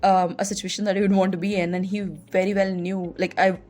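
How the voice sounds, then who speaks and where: A woman's voice: medium pitch, little pitch movement, brisk, darkish tone, soft background music. A young woman from India with an Indian English accent, hosting a podcast and telling personal stories in a chatty way full of "like" fillers. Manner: chatty, heavy use of "like" fillers. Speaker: podcast host. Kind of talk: casual personal storytelling.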